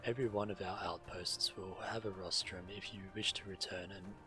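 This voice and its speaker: A man streaming a game, reading quest text aloud in a measured, deliberate way while he plays. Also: sits low, fairly even intonation. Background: fantasy game music.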